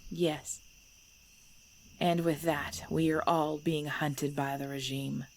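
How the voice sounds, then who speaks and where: A woman playing a centuries-old female vampire clan leader in an ASMR audio drama, composed and commanding, speaking in measured, formal phrases. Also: deep voice, level tone. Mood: grave. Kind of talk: ASMR audio roleplay acting. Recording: background noise with chirping crickets.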